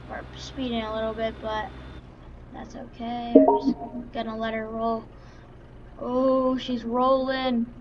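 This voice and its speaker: Female gaming YouTuber, highish voice, unhurried and halting, casual roleplay commentary, lo-fi recording with faint vehicle noise. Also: completely flat delivery. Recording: dull lo-fi mic, faint background noise. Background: vehicle engine sounds from the game.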